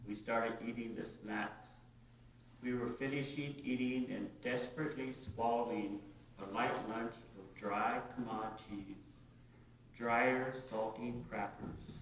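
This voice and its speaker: A man from the United States, a writer reading his own short story aloud in a halting, informal way. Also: lowish voice. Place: large echoey indoor room at a live reading. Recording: very echoey room, distant dull mic, hiss.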